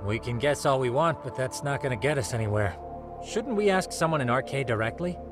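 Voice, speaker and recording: A man's voice: medium pitch, animated, brisk, over music, natural voice. An actor playing a brainy, easygoing male sidekick, polite and wry, in an English game dub. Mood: thoughtful. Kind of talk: English dub video game drama acting.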